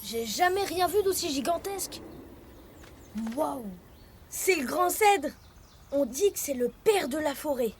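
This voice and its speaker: A woman's voice: high voice, expressive, unhurried, pauses often, a little hiss or hum. A woman voicing a brave, earnest young animal hero in a children's cartoon. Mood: awed. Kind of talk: animated series dub. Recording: music under the voice.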